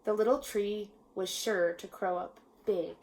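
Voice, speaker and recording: A woman reading a children's picture book aloud in a measured, expressive storytelling manner with pauses. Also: mid-range voice, slight background noise. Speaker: storybook reader. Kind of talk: children's read-aloud narration.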